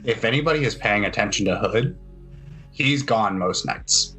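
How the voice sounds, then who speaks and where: Lowish voiced guy game mastering, matter of fact, casual, quiet music in the back. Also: lively intonation, normal speed, rough recording. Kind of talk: casual tabletop game narration and rulings.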